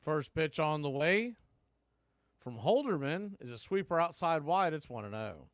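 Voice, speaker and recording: A man calling a baseball game play by play, rising to excited calls on big plays. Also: fairly high pitch, unhurried, no echo, clean studio sound. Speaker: play-by-play announcer.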